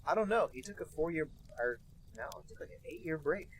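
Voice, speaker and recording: A young adult man co-hosting a casual podcast, dropping dry, deadpan quips. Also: fairly high pitch, fast talker, slight background noise. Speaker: podcast co-host. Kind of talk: casual chat.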